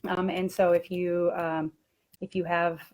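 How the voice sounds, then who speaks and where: A woman's voice: on the low side, level tone, measured pace, darkish tone. A woman talking casually as she presents a webinar for language teachers.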